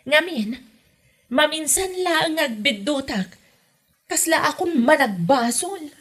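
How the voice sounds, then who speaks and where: A woman playing a stingy, meddling Ilocano mother-in-law in a comedy audio drama, with an Ilocano accent and a husky voice. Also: sits high, lively intonation, takes it slowly, fairly bright tone, full character voice. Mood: complaining.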